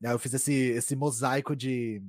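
A young adult man from Greater São Paulo, a content creator and streamer, chatting casually in a talkative, animated way. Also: mid-range voice, no echo, studio quality. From Greater São Paulo, Brazil. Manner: talkative, fast, animated storytelling. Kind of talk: casual conversation.

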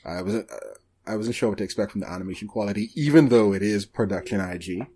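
Man, fairly low pitch, podcast co-host, casual chat, hesitant, halting, trails off. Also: dark tone, a little hiss or hum. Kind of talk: casual anime discussion.

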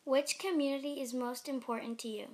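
A woman's voice: sits high, fairly even intonation, a little fast. A young woman asking her family members questions in a friendly, upbeat way. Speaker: student interviewer. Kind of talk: family interview.

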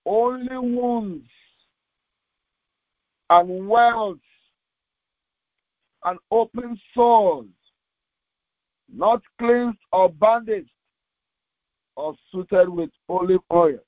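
High-pitched male pastor, tinny phone-like sound. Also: dry recording. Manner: solemn, deliberate, halting short phrases. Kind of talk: devotional sermon with slow scripture reading aloud. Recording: tinny, phone-like narrowband audio.

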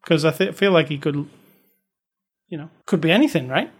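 A man with a slightly high voice talks films in a loose, chatty way. He is opinionated and often restarts his sentences as he thinks aloud. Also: normal speed, breathy edge. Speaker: podcast co-host. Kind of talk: casual film review chat.

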